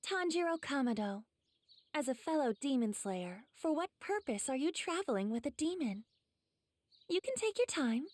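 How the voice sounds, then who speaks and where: A woman playing a composed, gentle young swordswoman healer in an anime game, courteous and unhurried. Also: sits high, sing-song, dry recording. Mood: gentle, coaxing. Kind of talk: English anime game voice acting.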